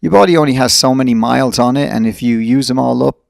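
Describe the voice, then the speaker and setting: A man's voice: medium pitch, fast talker, vocal fry, dry recording, studio quality. A middle-aged man talking in a casual, reflective and blunt way as he hosts a podcast conversation.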